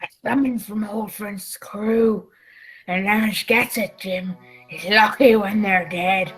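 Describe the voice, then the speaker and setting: A woman playing a gruff, frightened old male pirate captain with gravelly, rustic sailor speech in a radio play. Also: medium pitch, slow, soft background music, rough recording. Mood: grim. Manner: rustic sailor dialect, dramatic.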